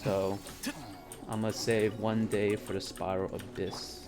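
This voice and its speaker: A man with a very low, deep voice talks casually into a desk mic at his home streaming setup, trailing off and restarting often with lots of ums and likes. Faint game music plays underneath. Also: level tone, unhurried. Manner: rambling, hesitant, many fillers. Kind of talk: casual live-stream chat while gaming.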